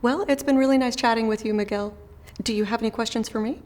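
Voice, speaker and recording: A woman's voice: on the high side, fairly even intonation, quick pace, a little mellow, big-room sound. A woman playing a recruiter in a scripted phone interview, with a friendly, professional manner. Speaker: recruiter in role-play.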